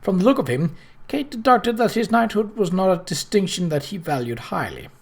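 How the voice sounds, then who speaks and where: A narrator reading a novel aloud in an expressive, scripted storytelling style. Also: mid-range voice, a little fast, vocal fry. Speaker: audiobook narrator.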